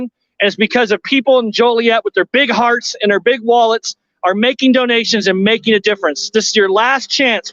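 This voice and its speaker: High-voiced man hosting a fundraiser. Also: fairly bright tone, no room sound, dull audio. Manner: earnest, pleading, repeats words for emphasis. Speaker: host of a theater fundraiser. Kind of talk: live donation appeal.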